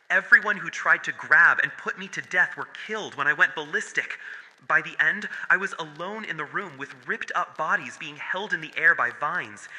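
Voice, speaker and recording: A man's voice: fairly high pitch, bright, forceful tone. A man voicing a traumatised furry cult survivor in an audio horror drama, speaking in a solemn, confessional way. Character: traumatised male furry cult survivor with nature powers, haunted. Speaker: voice actor. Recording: long hall-like reverb.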